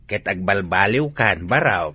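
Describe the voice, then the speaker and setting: A man's voice: low-pitched, lively intonation, a little slow, pushed and bright, breathy edge, full character voice. A man playing an older, admonishing but hearty father and grandfather in an Ilocano radio drama.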